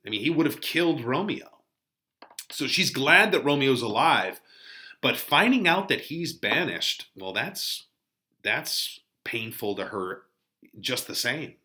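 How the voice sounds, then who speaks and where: Bright-voiced man giving casual literary commentary. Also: mid-range voice, sing-song, measured pace. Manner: conversational, informal asides. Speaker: teacher.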